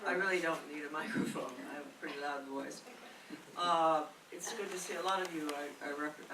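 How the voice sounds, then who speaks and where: A woman's voice: deep voice, distant mic, noisy background. An older woman giving a rambling, chatty speech of memories to a gathering, often asking a friend to remember. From Maine. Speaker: honoree's friend and speaker. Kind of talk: casual reminiscing speech. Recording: echoey room picked up from afar.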